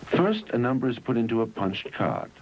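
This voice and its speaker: Dull noisy vintage film recording, man narrator, lively intonation, instructional, measured phrasing. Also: medium pitch. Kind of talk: scripted educational film narration. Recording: dull, noisy 1971 educational film soundtrack.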